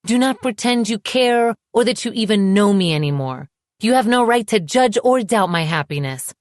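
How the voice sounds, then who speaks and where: A woman's voice: fairly low pitch, lively intonation, normal speed, dry recording, clean studio sound, lightly acted. A woman voicing a wounded, indignant young woman in an audiobook, with an emphatic, emotionally charged delivery. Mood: angry, incredulous.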